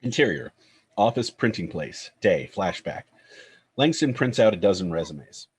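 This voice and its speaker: Guy narrating script directions, really low raspy husky voice. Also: big pitch swings, normal speed. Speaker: narrator reading stage directions.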